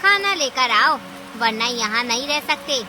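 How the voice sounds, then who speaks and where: A woman's voice: high voice, expressive, a little slow. A woman voicing a bossy, scheming, lazy older auntie animal in a cartoon story, demanding in manner. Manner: domineering, demanding. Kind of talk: Hindi cartoon story dialogue. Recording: clear rain and ambience noise.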